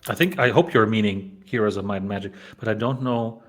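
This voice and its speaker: A man's voice: fairly low pitch, fairly quick. A man from Poland hosting a developer live stream, chatting casually with lots of fillers and restarts. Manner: rambling, many fillers and restarts.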